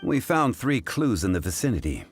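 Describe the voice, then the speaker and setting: A composed scholar from a video game, formal and precise in every phrase. His voice is low yet richly inflected, and each point is laid out deliberately. Faint music sits behind him. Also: very animated. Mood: methodical. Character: composed, scholarly gentleman consultant, measured and formal. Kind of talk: English video game voice acting.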